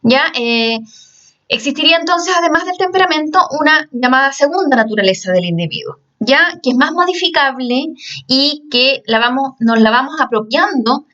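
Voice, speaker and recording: A woman's voice: high-pitched, animated, normal speed, sharp tone. Woman lecturing, distant muffled mic. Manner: explains step by step, often checks in with "¿ya?". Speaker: teacher. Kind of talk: recorded class lecture.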